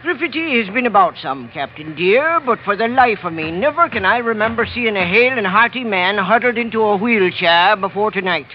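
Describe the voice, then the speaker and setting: An actor playing a sly, talkative ship's passenger and amateur doctor, telling tales theatrically for radio drama. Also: male voice, high-pitched, animated, fairly quick, hardly any pauses. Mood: conspiratorial. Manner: lilting, theatrical storytelling with archaic turns of phrase. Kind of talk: old-time radio drama acting. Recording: muffled vintage radio recording, faint noise.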